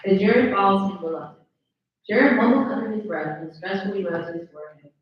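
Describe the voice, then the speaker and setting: A woman's voice: mid-range voice, brisk. Echoey woman reading stage directions. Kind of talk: scripted narration at a live table read. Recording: very echoey room, distant dull mic.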